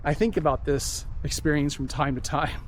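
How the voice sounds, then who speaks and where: A man's voice: fairly high pitch, fairly even intonation, moderate pace, slight background noise. A man talking earnestly as he walks outdoors, rambling and repeating himself in a reflective monologue. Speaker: vlogger. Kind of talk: reflective walk-and-talk monologue. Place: outdoors, walking.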